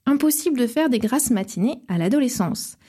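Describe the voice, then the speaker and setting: A woman hosting a radio program, reading a scripted book review in measured, short phrases. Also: fairly high pitch, animated, warm tone, slightly rough. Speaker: radio host.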